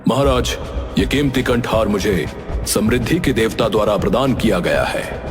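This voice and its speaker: A man voicing a cunning, vengeful cartoon monkey who speaks formally, measured and persuasively. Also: on the low side, animated, music in the background, natural voice. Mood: respectful, persuasive. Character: cunning vengeful male monkey, smooth-talking and deferential to kings. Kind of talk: scripted cartoon story dialogue in formal Hindi.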